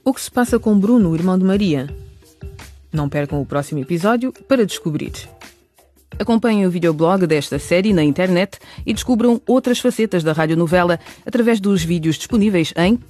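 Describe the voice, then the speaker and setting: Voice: medium pitch, animated, a little fast, mellow, soft background music. A narrator delivering a scripted radio drama outro, addressing the listeners. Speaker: narrator, announcer.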